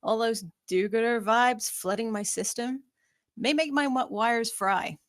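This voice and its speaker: A woman's voice: on the high side, unhurried, no echo, lightly acted. A woman playing a sardonic, self-assured young office worker at a screenplay table read, with a wry, dry manner.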